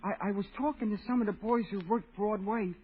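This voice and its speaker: Meek dopey mob sidekick, high husky voice, hesitant slangy talk, muffled old time radio play. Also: male voice, steady tone, brisk. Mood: meek, earnest. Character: timid, dim, loyal male gangster sidekick. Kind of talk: 1930s radio acting. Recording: muffled vintage radio broadcast.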